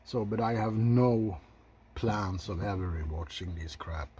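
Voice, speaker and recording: A man giving unscripted ASMR film commentary in a soft, low-key, halting way, blunt and opinionated with casual swearing. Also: slight background noise. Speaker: video host reviewing films.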